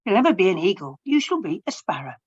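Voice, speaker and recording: A woman voicing a calm, kindly magical woman in a read-aloud storybook, speaking softly and coaxingly. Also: mid-range voice, fast, husky, lo-fi sound.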